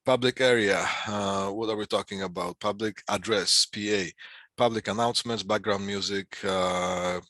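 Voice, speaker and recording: A man with a fairly low but bright, forward voice lectures informally to a class. He works through definitions in short, halting phrases and adds explanatory asides. The sound is close and very dry. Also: fairly even intonation, dry recording. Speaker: instructor giving a class on PA systems.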